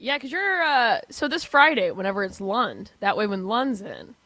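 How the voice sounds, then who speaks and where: A woman's voice: on the high side, big pitch swings, moderate pace, pushed and bright, dry recording. A woman, the show's producer, answering briefly and casually from off-mic in the studio. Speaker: show producer.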